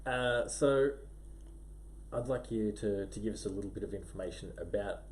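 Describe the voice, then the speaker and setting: A man's voice: fairly low pitch, moderate pace, darkish tone. A man hosting a podcast, introducing his guest in a hesitant way with many restarts. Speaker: podcast host. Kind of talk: casual interview chat. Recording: faint background noise.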